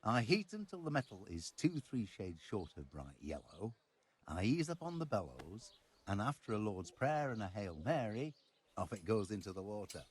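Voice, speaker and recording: Blunt, animated medieval blacksmith. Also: male voice, lowish voice, normal speed, slight background noise. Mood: frank, reflective. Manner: blunt, sweary, folksy turns of phrase. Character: gruff, proud, down-to-earth medieval village blacksmith, scornful and earthy. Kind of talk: video game voice acting.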